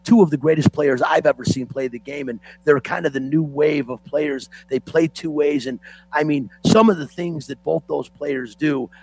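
A hoarse man hosting a sports talk show, opinionated and ranting like a fan. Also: a little high, steady tone, normal speed, darkish tone, dry recording, soft background music, rough recording.

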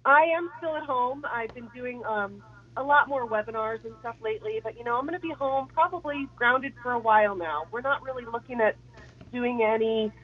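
Raspy woman with a head cold. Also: fairly high pitch, normal speed, phone-quality sound. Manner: chatty, lots of fillers. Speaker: guest. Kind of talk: casual radio chat.

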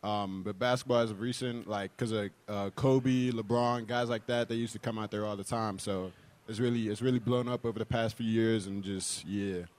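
A man's voice: lowish voice, moderate pace. Young guy from hong kong, college hoops player on a radio show, chill and casual, says you know a lot. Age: young adult. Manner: relaxed, lots of "you know". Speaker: college basketball player, guest.

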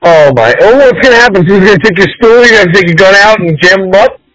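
A man's voice: sits high, lively intonation, fast, fairly bright tone, no echo, poor audio. A man hosting a casual podcast, teasing and goading his guest with mock indignation. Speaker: podcast host. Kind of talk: casual bantering interview.

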